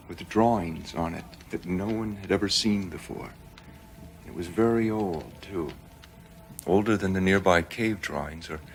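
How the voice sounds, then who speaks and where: Deep-voiced, measured colonel. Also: male voice, slight background noise. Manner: measured, solemn storytelling with pauses. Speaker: military colonel. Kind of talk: television drama acting, storytelling.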